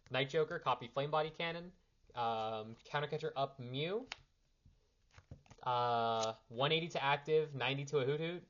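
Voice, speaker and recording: Young man, a little sick, impromptu strategy talk, self-correcting, mid pitch, lo-fi sound. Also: fairly bright tone, slight background noise. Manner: rambling, self-correcting, handling cards while talking. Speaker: card game player giving a strategy primer. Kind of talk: impromptu casual strategy explanation.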